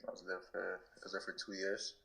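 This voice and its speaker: A man's voice: sits low, fast talker, gravelly. A man in his twenties from Canada, answering interview questions casually and loosely, with lots of filler words and restarts. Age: 20s. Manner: relaxed, many "like" fillers and restarts. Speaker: guest soccer player.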